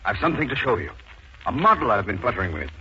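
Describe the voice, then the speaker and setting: An actor playing a plain-spoken, loyal steelworker in 1940s radio drama style. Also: male voice, fairly low pitch, very animated, quick pace, pushed and bright, breathy edge, exaggerated voice. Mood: modest, keen. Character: plain-spoken Irish steelworker, loyal, inventive mill hand. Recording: dull, hissy 1940s radio recording.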